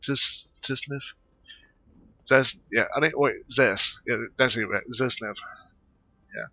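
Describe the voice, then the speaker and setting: A man giving casual let's play commentary in a halting, rambling, repetitive way. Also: medium pitch, bright, forceful tone. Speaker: gaming video creator. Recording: very roomy, slightly dull microphone sound.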